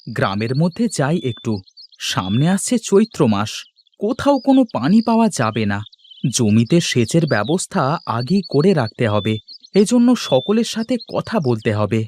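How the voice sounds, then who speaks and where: A man's voice: mid-range voice, very animated, normal speed, a little mellow, slight background noise, lightly acted. A man playing a hardworking village farmer and father in a cartoon moral story, easily exasperated and grumbling at his family. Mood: brisk, grumbling.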